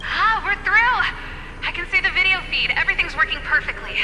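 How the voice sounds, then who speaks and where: An actress playing a friendly, lively young female comrade in a video game. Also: very high-pitched, fairly quick. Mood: excited. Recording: long reverb, loud music behind.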